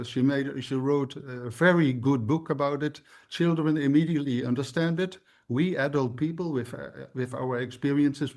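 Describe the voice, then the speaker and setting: Dutch-accented man, slow searching English, many fillers, mid-range voice. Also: medium pitch, darkish tone. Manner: hesitant, searching for English words. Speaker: regenerative dairy coach. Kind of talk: panel discussion.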